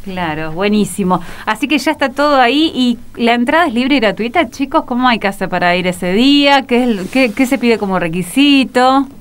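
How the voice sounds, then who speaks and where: A woman's voice: on the high side, animated, clear, resonant tone. A woman interviewing guests on a local radio show, asking friendly questions and adding short agreements. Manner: quick friendly questions, many short backchannels.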